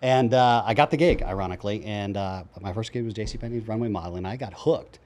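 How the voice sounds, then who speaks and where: A man of about fifty, a podcast guest in a casual interview, telling his story in a blunt, joking, energetic way. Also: fairly low pitch. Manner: fast, energetic talker, blunt and jokey, voices his own past quotes. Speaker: podcast guest, coach in self-transformation.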